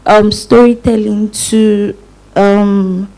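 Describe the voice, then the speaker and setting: A Nigerian woman politely asking a question from the audience in a halting way. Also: mid-range voice, little pitch movement, warm tone, poor audio. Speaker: audience questioner, PhD student.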